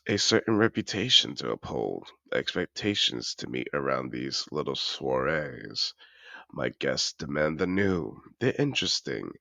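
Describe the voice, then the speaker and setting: A suave male dragon in aristocratic disguise, acted out by a man, speaks with courtly, seductive polish in a fantasy audio romance. The voice is extremely deep and creaky, swooping through its range as it savours formal phrases. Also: sharp tone, no room sound, heavily acted. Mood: courtly, intimate. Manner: courtly, formal, smooth-talking.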